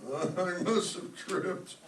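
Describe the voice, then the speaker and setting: An actor playing a pompous, flustered high school principal with a halting, blustering manner, in an old-time radio comedy style. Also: male voice. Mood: befuddled. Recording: roomy, distant stage pickup with background hiss.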